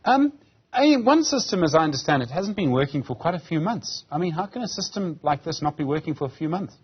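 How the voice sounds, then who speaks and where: A man in his fifties with a slightly husky voice, presenting and interviewing on live television news. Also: on the high side, expressive, quick pace, highs cut off. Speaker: news anchor.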